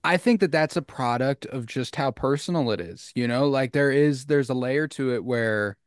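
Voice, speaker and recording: A man's voice: mid-range voice, normal speed, clear, resonant tone, no echo, studio quality. A man co-hosting a podcast, talking enthusiastically about a film he loves and swearing casually. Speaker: podcast co-host.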